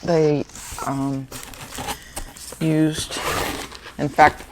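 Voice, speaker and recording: A woman's voice: deep voice, flat delivery, very slow, noisy background. A woman, hosting a book channel and chatting casually through an unboxing with fillers and small laughs. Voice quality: slightly gravelly.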